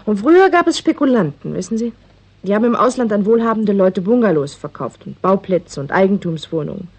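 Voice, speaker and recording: A woman's voice: mid-range voice, animated, a little fast, darkish tone, husky. An actress playing a self-assured local woman patiently explaining things in a 1960s German radio play.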